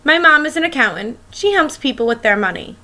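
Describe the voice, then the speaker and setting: A woman's voice: sits high, sing-song, a little fast, bright, forceful tone. A woman schoolteacher reading a children's picture book aloud, expressively and as if speaking directly to young listeners.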